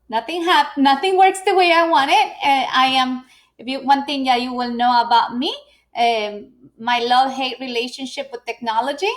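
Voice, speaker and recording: Middle-aged woman, high voice, chatty live-stream speaker who restarts often. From Ecuador. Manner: chatty, frequent fillers and restarts. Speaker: business owner and speaker. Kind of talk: live video presentation.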